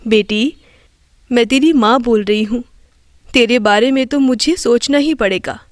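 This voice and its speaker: Woman acting motherly ghost, tender, reassuring, lowered pitch, dry close sound, storybook character. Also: a little slow, dry recording, natural voice. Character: dead mother's gentle, reassuring spirit.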